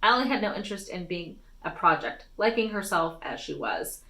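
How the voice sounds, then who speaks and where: Bright, forceful woman reading aloud. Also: on the low side, lively intonation, normal speed. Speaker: storytime reader. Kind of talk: expressive read-aloud of a fantasy novel.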